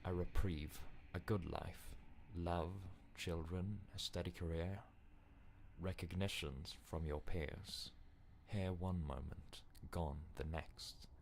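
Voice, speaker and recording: Deep, slow, ominous male game narrator. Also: steady tone, gravelly, darkish tone, slight background noise. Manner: grave, deliberate, ominous. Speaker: amateur voice actor. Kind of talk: dramatic scripted game narration.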